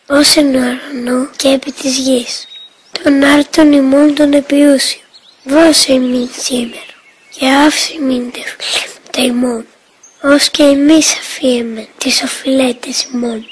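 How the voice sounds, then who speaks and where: A woman voicing a devout small boy in a cartoon, reciting a prayer slowly in a hushed, half-whispered voice. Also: high-pitched, fairly even intonation, a little hiss or hum, close to an everyday voice.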